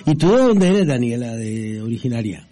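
A man's voice: mid-range voice, big pitch swings, normal speed, mellow. Playful man hosting. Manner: playful, teasing. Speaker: radio host. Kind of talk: casual chat.

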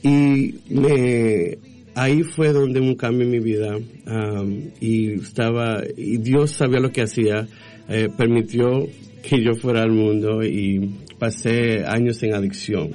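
A man raised in Texas by a Dominican family, giving personal testimony in an interview, halting as he searches for words. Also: medium pitch, steady tone, warm tone, faint music behind. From born in Guatemala to Dominican parents, raised in Texas from age six. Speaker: interview guest.

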